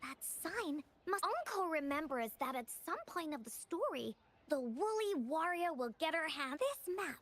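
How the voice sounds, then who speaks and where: A woman's voice: high-pitched, sing-song, moderate pace, a bit bright. A woman voicing a cheerful, imaginative little girl adventurer in a cute anime video game, eager and speaking of herself in the third person. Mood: curious. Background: game music.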